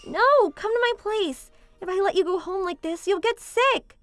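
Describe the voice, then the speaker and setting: Woman voicing a very high-pitched, sweet teenage schoolgirl. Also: expressive, normal speed, fairly bright tone, faint music behind. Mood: caring, insistent. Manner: cutesy, stammering when flustered. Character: sweet, caring teenage schoolgirl, easily flustered. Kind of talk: manga dub voice acting, scripted.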